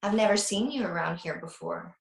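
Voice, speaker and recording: A woman's voice: lowish voice, a little fast, creaky. A woman playing a flirtatious, evasive mother in a screenplay table read over a video call. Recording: roomy, echoing video call audio.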